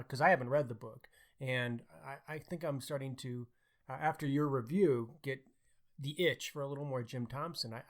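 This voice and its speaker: Mid-pitched man, podcast co-host. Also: bright, forceful tone. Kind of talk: conversational book discussion.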